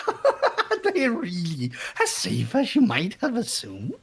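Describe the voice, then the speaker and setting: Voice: mid-range voice, wildly swooping pitch, takes it slowly, creaky, breathy edge, faint music behind, heavily acted. A performer voicing a sadistic, mocking male bear mastermind in a fan dub of a video game, taunting and giggling in a sing-song way.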